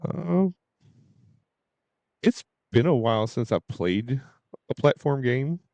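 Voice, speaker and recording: A man's voice: mid-range voice, lively intonation, slow pace, darkish tone, dry recording. A man streaming games and chatting casually in a hesitant, rambling way. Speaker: game streamer. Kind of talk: casual livestream chat.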